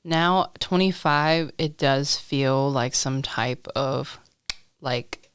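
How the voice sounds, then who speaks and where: A woman of twenty-five, a guest musician, musing reflectively in a casual podcast chat, trailing off in thought, on a studio boom mic. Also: drawn-out words, smooth, dry recording. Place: small decorated podcast studio. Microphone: studio boom mic with headphones.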